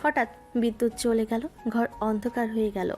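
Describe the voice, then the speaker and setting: A woman narrating a romantic audio story in a gentle, scripted storytelling style. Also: a little high, level tone, a little fast. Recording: music bed underneath.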